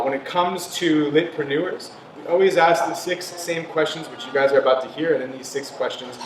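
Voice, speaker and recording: A man hosting an evening event, introducing a guest in a casual, upbeat way in a private home. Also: medium pitch. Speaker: event host. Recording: roomy, distant, noisy room.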